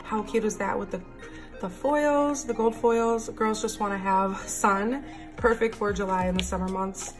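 Raspy-voiced woman chatting over a planner. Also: measured pace, music in the background. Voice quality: raspy, slightly deep morning voice. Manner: chatty, enthusiastic. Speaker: planner vlogger. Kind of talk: casual haul and flip-through.

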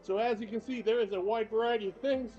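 A man's voice: high-pitched, steady tone, quick pace. An older man giving a casual walking tour of shops, chatty and upbeat, recorded on a handheld camera while he walks. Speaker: travel vlogger and tour guide. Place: shops and streets of a small town, indoors and out. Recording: slightly dull sound, faint music behind.

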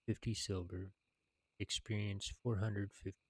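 A man in his thirties reading video game quest text aloud in a halting, deliberate way, with some plain commentary. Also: on the low side, little pitch movement, low-quality recording.

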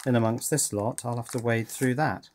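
A middle-aged British man casually narrating a hands-on hobby project, thinking aloud with hesitant pauses. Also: medium pitch, steady tone, darkish tone, slight background noise. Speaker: electronics hobbyist presenter. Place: indoors at a workbench.

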